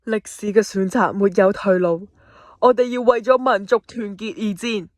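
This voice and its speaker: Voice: medium pitch, steady tone, moderate pace, no room sound, clean studio sound, close to an everyday voice. Resolute male patriot general, amateur actor, declamatory and earnest, medium register, radio drama.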